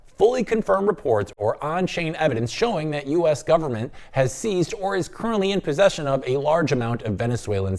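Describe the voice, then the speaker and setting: Lively news host with a bouncing delivery. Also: male voice, on the high side. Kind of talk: scripted news read and interview.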